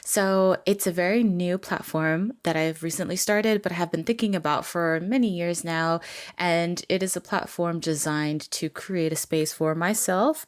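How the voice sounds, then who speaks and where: A Canadian artist talking as an interview guest in a laid-back, chatty way, laughing easily. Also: female voice, sits low. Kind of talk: casual interview conversation.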